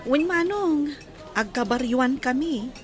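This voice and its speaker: A woman's voice: high-pitched, animated, slow pace, faint music behind. A woman acting in a radio drama as a polite, forthcoming young village woman. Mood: friendly.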